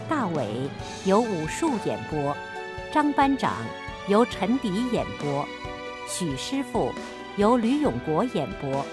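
A woman reading formal scripted credits as a radio drama announcer, with music behind her. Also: medium pitch.

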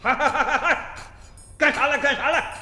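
An actor, shooing people off and mocking. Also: male voice, very high-pitched, moderate pace, pushed and bright, faint music behind, full character voice. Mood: brusque. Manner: brusque, mocking. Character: bossy village head, gruff and scornful. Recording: echoey.

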